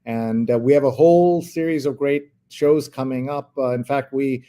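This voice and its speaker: A man with a mid-pitched voice hosts a casual live show. He is chatty, pausing and stepping aside from his sentences as he goes. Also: fairly even intonation, dark tone. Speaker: talk show host.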